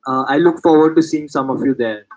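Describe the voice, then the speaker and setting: A man's voice: on the high side, a little fast, poor audio. Earnest man from India. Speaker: yoga teacher. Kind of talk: self-introduction.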